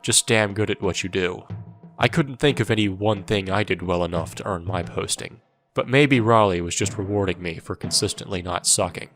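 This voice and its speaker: Low-voiced, sardonic soldier narrator. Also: male voice, normal speed, fairly bright tone. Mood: wry. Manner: sardonic, irreverent. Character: wry, world-weary male soldier recounting his war. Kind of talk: first-person audio drama narration. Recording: music under the voice.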